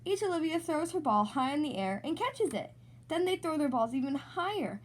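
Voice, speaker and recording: A woman hosts a read-aloud for kids. Her voice sits high and swings widely in pitch. She narrates in an expressive, sing-song storybook manner. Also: moderate pace. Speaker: storybook reader, podcast host.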